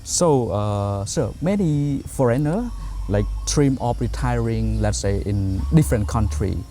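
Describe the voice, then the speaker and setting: Polite host, hesitant. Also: male voice, medium pitch, animated, unhurried, dark tone, faint music behind. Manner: polite, formal, many hesitations. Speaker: interview host. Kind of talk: hosting an interview.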